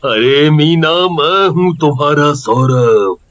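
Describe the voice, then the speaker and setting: A man voicing the vengeful ghost of a murdered village husband in a cartoon, emphatic and deliberate. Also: a little high, animated, a bit bright, dry recording, dull audio. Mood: reassuring. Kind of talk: Hindi cartoon voice acting.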